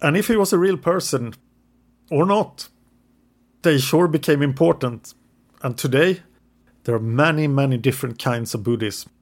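English-speaking man, podcast co-host, mid-pitched, narrates history from notes with the odd hesitation. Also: normal speed, long pauses. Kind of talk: casual history podcast storytelling.

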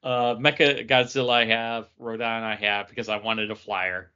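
A man co-hosting a podcast, chatting haltingly about a mobile game and cracking dry jokes. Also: medium pitch, level tone, pushed and bright, clear, resonant tone, highs cut off. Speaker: podcast co-host.

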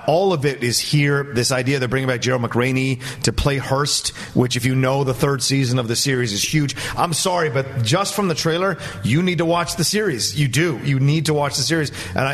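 A man's voice: medium pitch, a little fast, few pauses. A man speaking as an enthusiastic, emphatic panelist giving his opinions in a movie news discussion.

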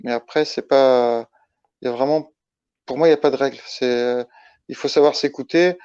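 A man from Italy speaking as a guest in a casual interview, halting and restarting his phrases as he explains. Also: medium pitch, fairly even intonation, darkish tone, smooth. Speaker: interview guest, ultra-trail runner. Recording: dull lo-fi, roomy echo.

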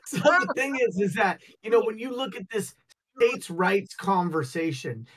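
Male co-host, high voice, rambling and jokey, stumbles through points. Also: animated, normal speed, fairly bright tone, a little hiss or hum. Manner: rambling, joking, stumbles over words. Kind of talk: casual talk show banter.

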